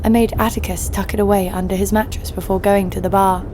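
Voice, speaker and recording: A woman's voice: medium pitch, flat delivery, fast, noisy background, natural voice. A woman playing a brash, scheming young con artist, narrating and talking in an audio drama with a sardonic, crude edge. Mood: sardonic, sly. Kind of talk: first-person narration and dialogue in audio drama.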